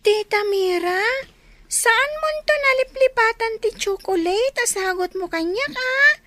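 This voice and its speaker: A woman's voice: extremely high pitch, expressive, measured pace, background noise. A woman with an Ilocano accent voicing a pleading, clingy little girl in a radio drama. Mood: pleading, whiny.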